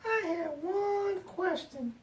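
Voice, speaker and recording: A woman voicing a polite, eager little boy puppet sidekick who speaks slowly and carefully. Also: very high voice, lively intonation, a little mellow, voice pushed up, full character voice. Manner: slow, careful, polite speech. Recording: roomy, distant, noisy pickup.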